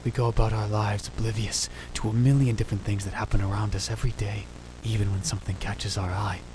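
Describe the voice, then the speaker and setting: A man voicing a dramatic young self-styled mad scientist, narrating in the first person in a hushed, grave, theatrical way. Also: on the low side, little pitch movement, quick pace, noisy background, natural voice. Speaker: narrator and lead in fan dub. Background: music.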